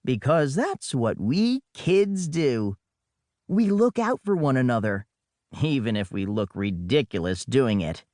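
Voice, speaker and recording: A man's voice: medium pitch, very animated, unhurried, a little mellow, dry recording, clean studio sound. A man reading a children's adventure audiobook in the first person as a boy storyteller, with dramatic delivery and wry conversational asides. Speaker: audiobook narrator.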